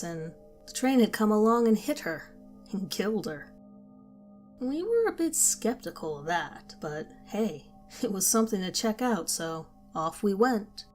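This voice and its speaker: A woman narrating a first-person horror story in a calm, measured storytelling manner. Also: mid-range voice. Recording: faint background music.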